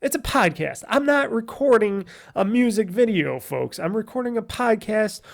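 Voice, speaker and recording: Man, podcast host, raspy nasal voice, gravelly fry, slightly high pitch, rambling casual chat. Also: animated, normal speed. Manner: rambling, restarts and corrects himself. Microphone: USB microphone.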